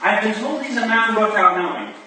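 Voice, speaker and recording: A man playing a genteel, eager Victorian gentleman in a staged play reading, polite, earnest and talkative. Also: sits high, brisk. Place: live theatre reading in a reverberant room. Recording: distant, very echoey room, clear noise.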